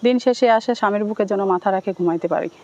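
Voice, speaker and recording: A woman's voice: mid-range voice, racing, dark tone. A young woman with a Bangladeshi accent giving a plain personal account in an interview, pleading and repeating herself. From Thakurgaon, Bangladesh. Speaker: interview guest telling her life story. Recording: dull lo-fi sound.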